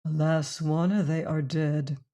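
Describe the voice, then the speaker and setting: A stern, proud young man, as the narrator reads him, firm and grave, speaking loudly. He holds himself upright and quick to anger. A storybook hero. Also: medium pitch, lightly acted. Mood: solemn. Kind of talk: audiobook character voice.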